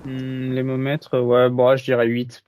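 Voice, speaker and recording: A man's voice: medium pitch, completely flat delivery, unhurried, a little mellow, no echo, clean studio sound. Easygoing, hesitant man on a podcast. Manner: easygoing, hesitant, laughs a lot. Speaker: podcast co-host. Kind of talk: casual chat.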